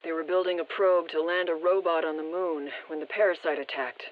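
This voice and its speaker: A woman's voice: sits low, steady tone, brisk. A woman playing a sober female field briefer in a video game, informative and restrained, through a radio filter. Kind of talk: scripted video game voice lines. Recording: muffled, band-limited radio transmission.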